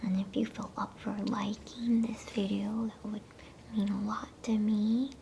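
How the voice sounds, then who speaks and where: A woman whispering an ASMR bedtime story in a hushed, soothing, unhurried way. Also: medium pitch, flat delivery, creaky. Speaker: ASMR storyteller and channel host. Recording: faint background noise.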